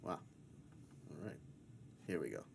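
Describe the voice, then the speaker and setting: A man's voice: extremely low pitch, very animated, unhurried, gravelly, background noise. A man hosting a casual interview, reading out viewer comments, tripping over names and chuckling often.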